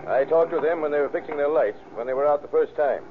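An actor playing an observant, laconic former police chief, clipped and matter-of-fact, in an old-time radio crime drama. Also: male voice, a little high, fast talker, lightly acted. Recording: tinny old radio recording, faint noise.

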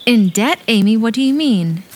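A woman's voice: a little high, lively intonation, moderate pace, a little mellow. A woman playing a kind, sensible older sister in an audio play. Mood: caring, concerned.

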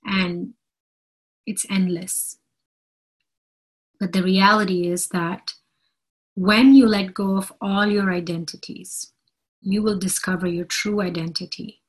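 A woman speaks as a spiritual teacher giving an inspirational talk. Her voice is soft-edged and husky, at a middle pitch. She goes very slowly, setting out each short phrase with earnest, deliberate emphasis and repetition. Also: steady tone, poor audio.

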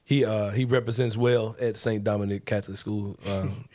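A man's voice: on the low side, fairly even intonation, moderate pace, darkish tone. A man, hosting a casual sports talk show in a laid-back, joking, slangy way. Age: 40s. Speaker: podcast host.

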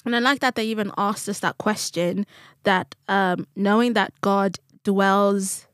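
A woman's voice: medium pitch, steady tone, a little slow, fairly bright tone, no echo, clean studio sound. A woman from Zimbabwe co-hosting a Bible study podcast in casual conversation, acting out remarks and asking rhetorical questions. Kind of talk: casual conversational religious discussion.

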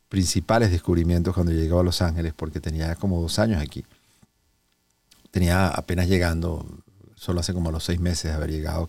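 Venezuelan man, mellow dark timbre, interview host, conversational storytelling, slightly distant from the mic. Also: low-pitched, gravelly, no echo. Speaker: host of a health and wellness interview show. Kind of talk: relaxed conversational introduction and storytelling.

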